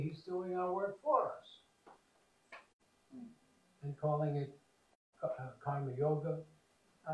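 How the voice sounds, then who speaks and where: Male devotional speaker, wry and rambling, slightly high voice, distant mic in a roomy hall. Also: darkish tone. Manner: wry, digressive, halting with fillers. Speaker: senior monk speaker. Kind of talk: devotional talk with anecdotes. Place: temple hall. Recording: roomy hall, voice from far off, faint noise.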